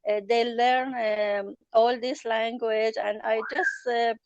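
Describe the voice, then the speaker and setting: A woman's voice: fairly high pitch, little pitch movement, slow pace, smooth, dry recording, highs cut off. A woman whose first language is Farsi, a journalist and travel writer answering interview questions hesitantly, with many fillers and restarts. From Iran.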